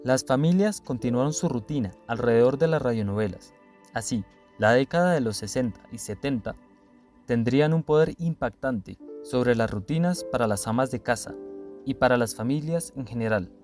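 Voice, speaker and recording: A man's voice: medium pitch, expressive, moderate pace, a little mellow, faint music behind. A man reading scripted documentary narration.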